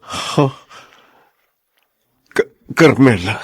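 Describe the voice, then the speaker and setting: Slow, husky remorseful older father. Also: medium pitch, animated, no echo, studio quality, exaggerated voice. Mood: stunned. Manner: halting, emotive. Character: remorseful older father haunted by an old killing. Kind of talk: Tagalog radio drama acting.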